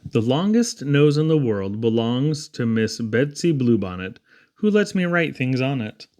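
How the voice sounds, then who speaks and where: A man reading humorous children's poetry aloud in a theatrical, rhythmic, expressive way. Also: medium pitch, unhurried. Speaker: poetry reader.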